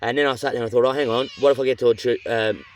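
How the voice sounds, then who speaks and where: A tired Australian man in his middle years talks to his camera out in the bush by a river, and he rambles cheerfully from one thought to the next. His voice sits at an ordinary pitch, a little stuffy from a cold, and faint birdsong and outdoor noise sit behind him. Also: steady tone, very fast. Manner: chatty and rambling, with frequent restarts and slang. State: worn out, with a runny nose. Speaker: vlogger on a long canoe trip. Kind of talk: rambling casual vlog chat. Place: outdoors by a river among rocky cliffs.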